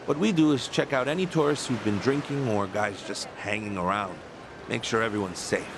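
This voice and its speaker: A man's voice: on the low side, fairly quick, close to an everyday voice. A man voicing a friendly, dutiful local volunteer organizer in a video game, explaining things in an easygoing way. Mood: informative. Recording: clear outdoor town noise behind.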